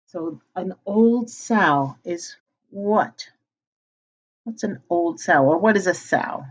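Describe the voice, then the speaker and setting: A woman reading a storybook aloud like a teacher, pausing to ask children questions and answer them. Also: lowish voice, lively intonation, husky.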